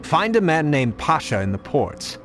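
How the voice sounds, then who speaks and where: An actor playing a helpful, level-headed resistance informant in a video game, explaining in a matter-of-fact way. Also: male voice, fairly high pitch, sing-song, normal speed, soft background music. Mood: businesslike. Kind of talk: video game cutscene acting.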